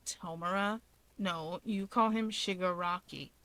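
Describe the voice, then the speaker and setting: A woman's voice: lowish voice, fairly even intonation, unhurried, sharp tone, no room sound, voice pushed down. A woman voicing an awkward, defensive, easily startled young man in a story reading, hesitant and clipped. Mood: confused, defensive. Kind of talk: expressive fan fiction character reading.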